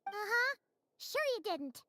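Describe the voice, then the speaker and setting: A woman voicing a tiny, chatty, petulant fairy companion in an anime fantasy game, with a squeaky childlike voice and blunt, expressive delivery. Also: takes it slowly, heavily acted. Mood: sceptical. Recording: loud music behind.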